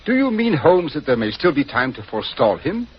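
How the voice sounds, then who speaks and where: An actor playing a grave English knight in government service in a 1940s radio drama, formal and measured. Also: male voice, on the high side, big pitch swings. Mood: confidential. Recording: muffled 1940s radio recording.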